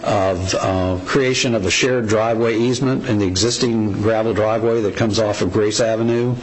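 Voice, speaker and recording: A hoarse man with a cold presenting a methodical, point-by-point case to a commission at a public hearing. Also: fairly low pitch, steady tone, normal speed. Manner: methodical, point-by-point, frequent ums and restarts. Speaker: representative of property owners. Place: public meeting room. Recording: noisy meeting room recording.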